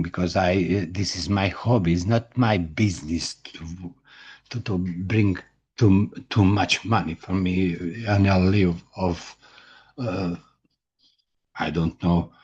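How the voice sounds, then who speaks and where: Middle-aged Croatian-accented man, native Croatian speaker, low husky voice, halting broken English with constant restarts, nervous to-camera talk. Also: darkish tone, muffled recording. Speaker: indie author and book vlogger.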